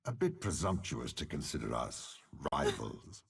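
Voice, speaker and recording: An actor playing a stern, scheming old general in a video game, with a gravelly, raspy voice and a commanding, deliberate, sardonic delivery. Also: male voice, rumbling low, sing-song, heavily acted. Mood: dry, sardonic. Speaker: game character. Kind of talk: dramatic game voice lines.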